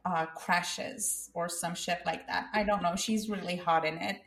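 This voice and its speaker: Slow, laughing young woman, roomy sound. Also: fairly low pitch. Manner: relaxed, hesitant, many ums and laughs. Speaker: podcast co-host. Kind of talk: casual chat.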